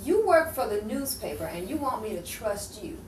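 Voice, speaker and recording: A woman's voice: on the high side, sing-song, moderate pace. A woman playing a frightened, distrustful crime witness in an improvised noir radio play. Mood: distrustful, indignant. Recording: echoey room, distant mic, faint noise.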